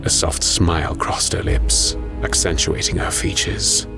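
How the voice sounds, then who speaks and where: A man's voice: rumbling low, big pitch swings, unhurried, creaky. A man reading scripted, dramatic narration for an audio story. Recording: music under the voice. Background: music.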